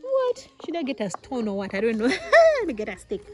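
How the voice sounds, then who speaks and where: A young woman from Uganda, a vlogger, unboxing outdoors in a village yard, talking with giddy excitement, laughing often and exclaiming. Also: high-pitched, normal speed. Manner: giggly, breathless with excitement, frequent laughs and exclamations. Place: outdoors in a rural village yard, seated on a mat.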